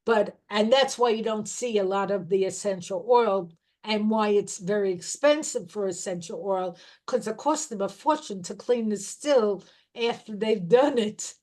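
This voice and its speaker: A middle-aged woman gives an informal talk on herbal remedies at a slow, unhurried pace. Her pitch sits in the ordinary middle range. She often breaks off and restarts her sentences as she goes. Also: darkish tone. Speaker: herbal educator.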